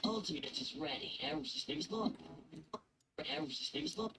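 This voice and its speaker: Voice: medium pitch, lively intonation, a little fast, sharp tone, slight background noise. An actor voicing a video game system announcer, giving short, clipped, scripted alerts. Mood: announcing alerts.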